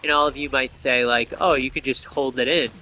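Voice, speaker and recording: A man's voice: mid-range voice, fairly even intonation, brisk, pushed and bright, slight background noise, rough recording. A man giving casual gameplay commentary, explaining things and thinking aloud as he plays.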